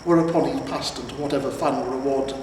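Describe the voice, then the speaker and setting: A man reading a scripted story aloud in a measured storytelling way, with pauses. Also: medium pitch, steady tone. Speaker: amateur audiobook reader. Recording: roomy, echoing, distant mic with noise.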